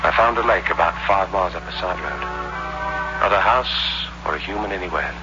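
Actor as low-voiced hard-boiled drifter, old radio. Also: male voice, fairly quick, natural voice. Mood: grim, calculating. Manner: terse, wry, hard-boiled. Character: scheming drifter in debt, cool, hard-boiled narrator. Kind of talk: 1960s radio suspense acting, first-person narration. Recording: muffled old radio recording with loud music.

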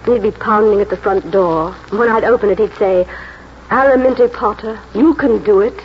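A woman's voice: mid-range voice, normal speed, natural voice. Woman playing a proud, wistful mother, muffled radio. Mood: wistful pride. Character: proud, wistful village mother, middle-aged former swimmer. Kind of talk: 1940s radio drama acting. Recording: muffled hissy 1940s radio recording.

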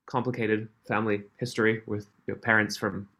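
A man's voice: on the low side, little pitch movement, a little fast, pushed and bright. Easygoing Swiss-raised man, laughs often. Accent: mixed, Canadian-tinged. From Switzerland. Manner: friendly, laughs often, restarts phrases. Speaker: podcast guest, company founder. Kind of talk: casual interview chat.

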